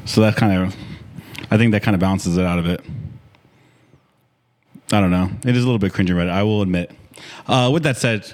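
A young man co-hosting a casual podcast, laid-back and swearing freely, tossing in quick asides. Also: sits low, a little mellow. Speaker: podcast co-host. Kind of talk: casual chat. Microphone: desk mic, seated.

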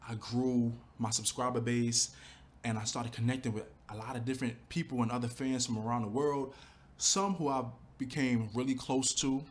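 A man's voice: mid-range voice, moderate pace. Earnest man reflecting on his channel. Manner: earnest, animated storytelling with fillers like "you know". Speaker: YouTuber talking about his channel. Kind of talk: casual reflective monologue.

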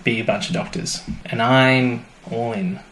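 A man's voice: medium pitch, steady tone, unhurried, a bit bright. A man co-hosting a casual podcast as the resident fan, chatty and enthusiastic, speaking in loose, informal banter. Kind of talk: casual banter about a sci-fi series. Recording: roomy echo, faint music behind.

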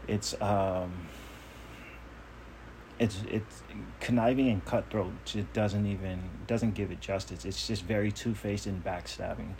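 A man's voice: on the low side, fairly even intonation, unhurried, darkish tone, slight background noise, poor audio. A man talking on his own podcast in a casual monologue, earnest, self-assured and emphatic. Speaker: podcast host.

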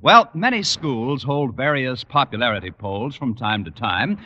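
A man's voice: medium pitch, lively intonation, moderate pace, bright, forceful tone, dull audio, a little hiss or hum. A man announcing a 1950s radio show with polished, emphatic projection. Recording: old radio broadcast with hall reverb. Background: music.

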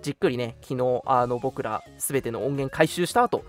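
A man's voice: mid-range voice, moderate pace, faint music behind. A young man hosting an online radio show, making announcements in a polite, earnest, conversational way. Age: young adult.

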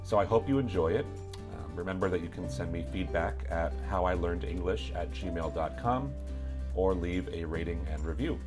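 A man hosting a casual interview podcast, talking in a relaxed, hesitant way with many fillers. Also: low voice, normal speed, over music. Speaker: podcast host.

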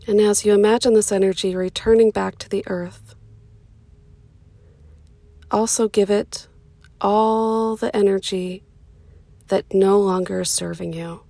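A woman leading a guided meditation in a soothing, unhurried way, with pauses between short phrases and a soft voice that turns breathy at times. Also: medium pitch, fairly even intonation, no room sound.

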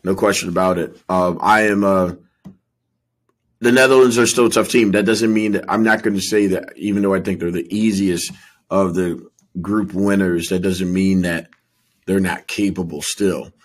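A man co-hosting a sports podcast, talking soccer casually in a husky voice. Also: deep voice, steady tone, normal speed.

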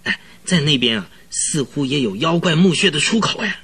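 An actor in a radio drama playing an excitable, easily frightened horse trainer who tells things in a husky, rambling, dramatic way. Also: male voice, on the high side, normal speed, heavily acted. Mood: spooked, excited. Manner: rambling, dramatic storytelling full of interjections.

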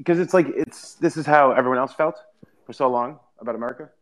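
Quick, animated male co-host, loose and profane, mid pitch. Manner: animated, swears freely, puts on excited voices when quoting. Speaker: podcast co-host. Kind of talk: casual chat.

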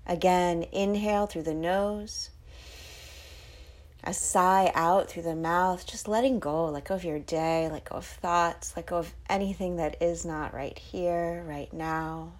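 A woman hosting a guided sleep meditation, giving soothing instructions with breathy phrases that often trail off toward a whisper. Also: fairly low pitch, steady tone, measured pace, darkish tone.

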